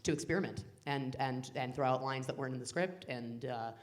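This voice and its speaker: Woman, 30s, low-pitched director, rambling and animated, full of fillers. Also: brisk. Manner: rambling, many fillers and restarts, animated quoting. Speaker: film director. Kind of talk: casual panel chat. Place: festival cafe stage.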